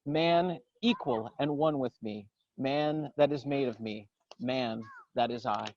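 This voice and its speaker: Guy reciting poetry outside, really slow and solemn, kinda dull lo-fi sound, no echo. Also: medium pitch, slight background noise. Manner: solemn, declamatory, measured phrasing. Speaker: poetry reader. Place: outdoors in a garden.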